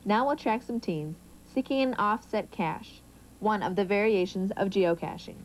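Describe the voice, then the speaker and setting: A woman's voice: mid-range voice, normal speed, background noise. A teenage American woman presenting and narrating a youth TV show from a script. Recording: music bed behind voice.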